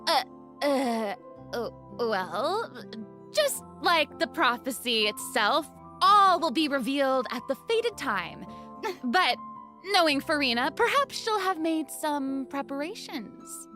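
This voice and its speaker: Upbeat young woman game presenter, high animated voice, perky, bit of music under it. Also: slow pace. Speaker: presenter on a game showcase program. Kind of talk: upbeat scripted presentation with banter. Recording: light music behind the voice.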